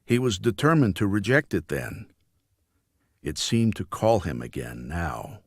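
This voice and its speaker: Male audiobook narrator, lowish pitch, very unhurried, solemn, deliberate, scripted fiction reading. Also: very animated, husky, no echo, studio quality. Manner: measured, deliberate, solemn delivery.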